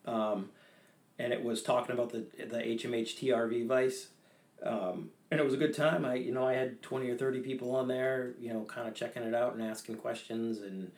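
A man's voice: mid-range voice, fairly even intonation, brisk, darkish tone. A young man from Maine, a fly tyer, chatting as a guest in a casual interview with a humble, talkative manner and frequent restarts.

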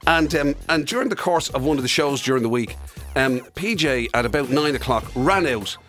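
A man with an Irish accent co-hosting a casual comic podcast, teasing, animated and sweary. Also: mid-range voice, fairly quick, faint music behind. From Ireland. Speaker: podcast and radio host. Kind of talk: casual comic banter between co-hosts.

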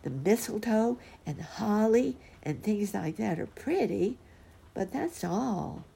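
A woman voicing an excitable, determined young male bear cub in a children's story, eager and pleading. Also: medium pitch, takes it slowly, creaky, breathy edge, pitched above the performer's own voice, full character voice. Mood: disappointed, insistent.

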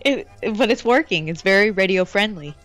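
A woman's voice: medium pitch, animated, fairly quick, fairly bright tone, faint music behind, poor audio. A woman co-hosting a casual podcast chat, playful and teasing in an upbeat way. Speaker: podcast co-host.

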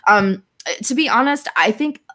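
A woman speaking as a podcast guest in casual conversation, with an informal, candid manner that often softens her points. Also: on the high side, measured pace.

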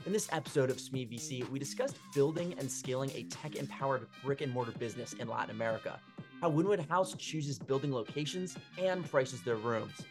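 A man hosting a podcast, reading a scripted intro and asking brisk, eager interview questions. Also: medium pitch. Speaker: podcast host.

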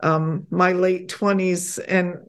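Lively laughing woman, middle-aged. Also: on the low side, takes it slowly. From Poland. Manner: warm, laughs often, animated when excited. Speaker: podcast guest. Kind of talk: casual interview chat.

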